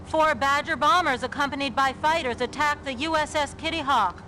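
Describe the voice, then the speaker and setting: A woman's voice: high-pitched, normal speed, pushed and bright. An actress playing an earnest, direct female war correspondent in a game cutscene. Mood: grave, newsy. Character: earnest, bold female television war reporter. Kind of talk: game cutscene acting with news reporting. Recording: clear background noise, vehicle ambience.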